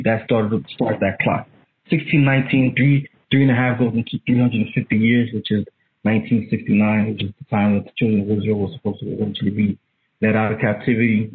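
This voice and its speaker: Man preaching bible study over a tinny phone line, emphatic, middle pitch. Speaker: Bible teacher.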